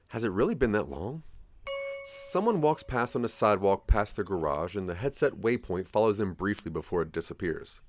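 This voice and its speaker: A male narrator reads a scripted, second-person audio drama. His voice sits fairly low and moves with very animated intonation. Faint music plays underneath.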